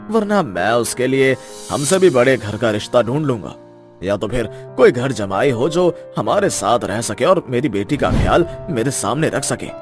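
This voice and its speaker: A man acts out a wealthy middle-aged father-in-law in a moral story. He is haughty and controlling, with a medium-pitched voice, and his politeness is a thin cover for condescension. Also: lightly acted. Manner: condescending, mock-polite, commanding. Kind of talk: dramatized Hindi moral story.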